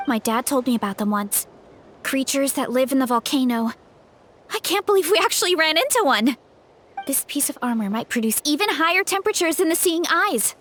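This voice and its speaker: Earnest, high-voiced young girl warrior. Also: sing-song, a little fast, fairly bright tone, slight background noise. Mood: amazed, then informative. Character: earnest young girl warrior, self-doubting but determined. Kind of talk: animated video game voice acting.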